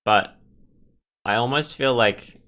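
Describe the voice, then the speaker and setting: A man's voice: mid-range voice, slow pace, bright, forceful tone. A man hosting a gameplay video, commenting and reading the game's text aloud. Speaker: gaming video host.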